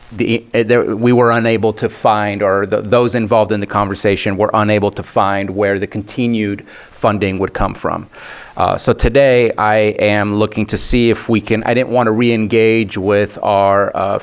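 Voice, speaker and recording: Flat-toned man explaining a proposal. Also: fairly low pitch. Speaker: county supervisor. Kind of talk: explaining a proposal at a public meeting.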